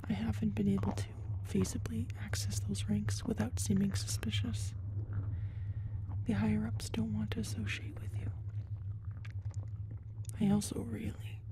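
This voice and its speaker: Woman doing a whispery alien brood mother, calm n cunning, controlling, asmr roleplay style. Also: mid-range voice, lightly acted. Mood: sly, conspiratorial. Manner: hushed, controlling, coolly menacing.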